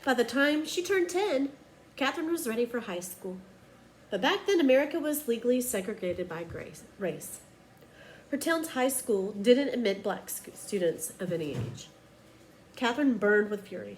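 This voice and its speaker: A woman's voice: fairly high pitch, lively intonation, moderate pace, a little mellow, rough recording. Woman reading aloud, slight background noise. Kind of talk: children's picture book read-aloud.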